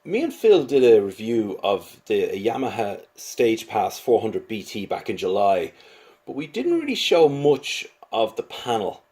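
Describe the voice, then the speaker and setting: A man talking through a casual, unscripted product review as a reviewer, in a hesitant, halting way with repeated words. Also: lowish voice, wildly swooping pitch, warm tone.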